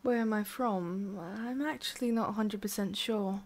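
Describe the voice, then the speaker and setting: Haughty forest witch, played by a woman, ASMR roleplay. Soft-spoken, halting, condescending, with whispery breaths and a hidden tender streak. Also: mid-range voice, close to an everyday voice. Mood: wistful, halting. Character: haughty, prickly tsundere forest witch, secretly soft-hearted.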